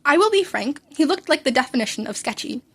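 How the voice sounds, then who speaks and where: A woman's voice: high-pitched, very animated, quick pace. A woman reading scripted fan fiction as narrator, with wry storyteller asides.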